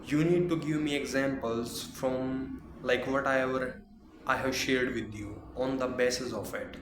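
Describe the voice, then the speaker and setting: A man from Haryana with an Indian accent, practicing English and pausing to find words. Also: mid-range voice, steady tone. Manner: hesitant, searching for words. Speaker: English learner. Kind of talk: practice conversation. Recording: roomy room with noise.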